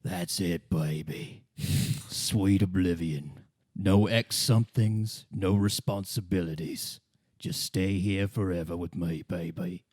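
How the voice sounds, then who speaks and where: A man voicing an ancient reptilian brain that coaxes seductively in a low, hushed croon. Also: steady tone, slow pace, no room sound, studio quality. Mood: seductive, soothing. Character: seductive whispering ancient reptilian brain, coaxing toward oblivion.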